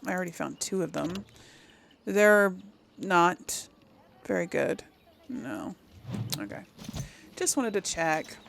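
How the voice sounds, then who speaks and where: A woman's voice: on the low side, slow pace, vocal fry, fairly bright tone, slight background noise. A woman doing a casual let's play, thinking aloud and reading game dialogue options as she role-plays. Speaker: gaming channel host role-playing a video game.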